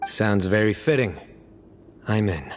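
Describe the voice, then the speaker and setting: An actor playing a stern, resolute warrior judge in a video game, speaking bluntly and deliberately. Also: male voice, fairly low pitch, lively intonation, a little hiss or hum.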